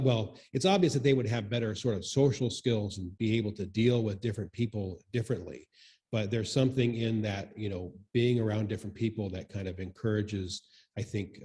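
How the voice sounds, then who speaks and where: A man giving informal, reflective comments in a group discussion, speaking in a halting, thoughtful and tentative way. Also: lowish voice, warm tone. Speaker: congregation member commenting in church discussion.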